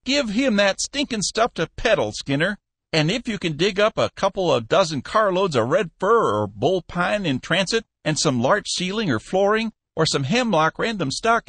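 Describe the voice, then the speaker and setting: A man voicing a blustery, excitable old company boss for an audiobook, exclamatory and emphatic. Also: high voice, normal speed, husky, no room sound, voice pushed up, full character voice. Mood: eager. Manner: exclamatory, emphatic, folksy oaths. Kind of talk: audiobook character voice.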